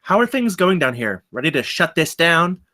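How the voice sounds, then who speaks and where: Man playing an earnest, bright-voiced young guard. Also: on the high side, very animated, moderate pace, full character voice. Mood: earnest, awkward. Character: earnest, anxious young undercover campus security guard. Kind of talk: live screenplay table read.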